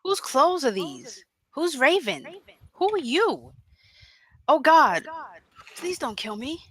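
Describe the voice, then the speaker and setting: An actress at a table read playing a frightened, confused woman, pleading and halting. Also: high-pitched, big pitch swings, a bit bright, no echo, full character voice. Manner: fearful, halting.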